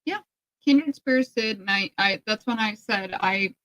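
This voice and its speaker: A soft-spoken woman whose voice is mid-pitched for a woman. She chimes in with brief, quiet remarks during a casual paranormal chat. Her voice comes across small and unforced. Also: unhurried, no room sound, dull audio. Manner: soft-spoken, describes her own voice as tiny. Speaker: co-host of a paranormal talk show.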